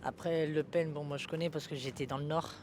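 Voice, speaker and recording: A man's voice: on the high side, fairly even intonation, a little fast, background noise. A homeless man from northern France giving frank, weary answers in a street interview. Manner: frank, weary, plain-spoken. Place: outdoors on a city street.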